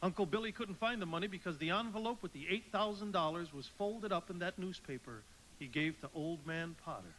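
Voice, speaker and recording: An actor playing a senior angel in a live radio play, patiently recounting a mortal's life in a measured way over faint hiss. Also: male voice, sits high, a bit bright, rough recording. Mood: grave, explaining.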